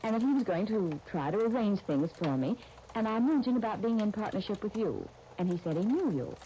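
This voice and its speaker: Mellow, earnest 1930s radio soap heroine played by an actress. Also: female voice, medium pitch, expressive, brisk, natural voice. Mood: earnest, recounting. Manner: reasonable, gently persuasive, polite. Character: Helen, earnest, tactful young dress designer and shop partner. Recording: muffled, hissy 1939 radio broadcast recording.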